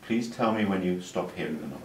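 Older male doctor, deep voice, patient explainer; distant mic, roomy clinic sound. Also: a little fast. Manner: calm, explanatory, with small restarts. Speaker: doctor demonstrating an exam. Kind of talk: clinical teaching demonstration. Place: clinic examination room. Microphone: far camera mic. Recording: roomy, distant sound with faint room hiss.

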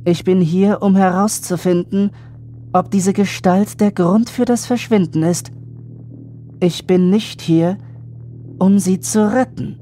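Eerie boy voiced by a woman, calm beyond his years, dark mellow tone, slow delivery, horror audio drama, music underneath. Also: on the low side. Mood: detached, matter-of-fact. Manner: measured, matter-of-fact, unnervingly adult. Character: calm, self-assured, eerie supernatural young boy.

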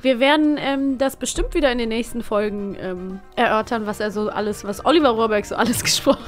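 A woman's voice: on the high side, brisk, faint music behind. A woman co-hosting a podcast in casual chat, talkative and laughing easily as she leads the conversation. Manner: chatty, laughing, guides the talk. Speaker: podcast co-host.

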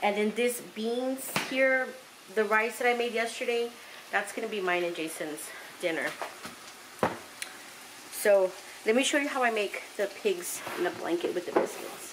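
A middle-aged woman from South Texas chatting casually to a handheld camera in her home kitchen, relaxed and full of ums and likes. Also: medium pitch, normal speed, very noisy. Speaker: vlogger. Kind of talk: casual home vlog chat. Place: home living room and kitchen, indoors. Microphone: handheld camera close to face.

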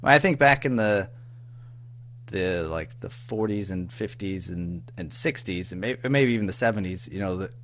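A man's voice: low voice, lively intonation, moderate pace, fairly bright tone, slight background noise. An American man giving a casual interview as a guest, speaking in an informal, hesitant, rambling way. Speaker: interview guest, audio drama writer and producer.

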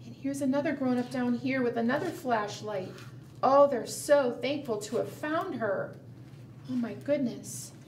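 A middle-aged woman telling a picture-book story to preschoolers in simple, expressive language, often asking the listeners questions. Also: high voice, measured pace. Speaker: children's librarian and storytime host. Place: indoor room, book shown to camera. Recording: roomy, distant mic, background noise.

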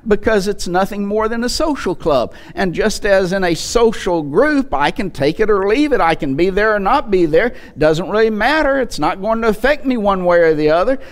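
A man's voice: high-pitched, expressive, moderate pace, few pauses. A man in his sixties preaching a sermon from a church pulpit into a headset mic, emphatic, with rhetorical questions and repetition. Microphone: headset microphone.

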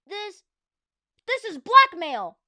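A woman's voice: very high-pitched, sing-song, slow, dry recording, studio quality, pitched above the performer's own voice, heavily acted. Woman doing a frantic stammering schoolboy. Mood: panicked. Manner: stammering, loud and panicked. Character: panicky schoolboy caught out, high and frantic. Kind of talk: storybook read-aloud.

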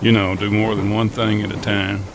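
A man's voice: flat delivery, moderate pace. A man with a folksy, hokey manner, hosting an old time radio skit as a train conductor. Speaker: radio show host playing a train conductor. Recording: noisy radio skit with rumbling train ambience.

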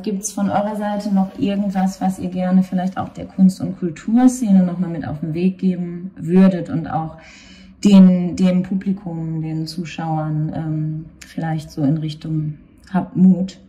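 A woman's voice: fairly low pitch, fairly even intonation, unhurried, dark tone. A woman interviewing guests informally on location, in conversational speech. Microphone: handheld interview microphone.

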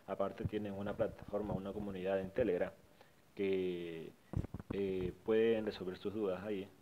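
A man's voice: fairly low pitch, noisy background. A man casually narrating a screen-recorded walkthrough of a website, often repeating and restarting his phrases. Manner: repetitive, restarts phrases while pointing things out. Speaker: tutorial vlogger.

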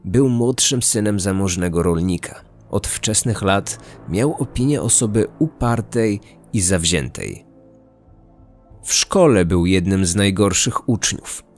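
Guy with a lowish voice narrating a true crime podcast, faint music under him. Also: animated, a little slow, a little mellow.

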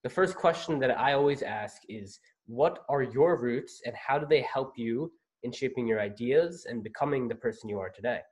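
A man's voice: mid-range voice, expressive, normal speed, hardly any pauses, a little mellow. A young man hosting a student interview over a video call, asking formal prepared questions. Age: young adult. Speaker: interview host. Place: home office.

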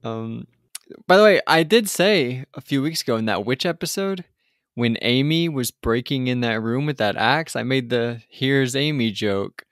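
A man's voice: medium pitch, lively intonation, normal speed, fairly bright tone, dry recording. Man chatting casually, dry close sound. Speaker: podcast co-host. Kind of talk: casual film and TV recap chat.

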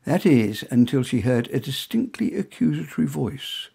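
Husky, lively English male storyteller. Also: medium pitch, normal speed. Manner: wry, droll, conversational asides. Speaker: author reading own short story. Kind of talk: first-person humorous story narration.

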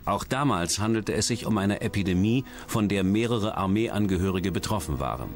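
Male narrator, low and expressive, measured dramatic documentary read, faint music underneath. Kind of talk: scripted true-crime science documentary narration.

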